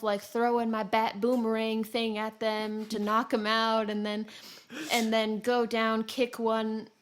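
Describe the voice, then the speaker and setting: A woman hosting a podcast, chatting casually about games in a giggly, conversational, self-deprecating way. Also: a little high. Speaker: podcast host. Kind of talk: casual gaming podcast chat.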